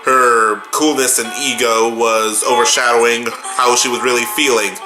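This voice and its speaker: A man giving an excitable, melodramatic fan reaction in casual chat as the host of a video. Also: mid-range voice, moderate pace. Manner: melodramatic, wailing playfully. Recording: roomy echo, music behind. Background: music and show audio.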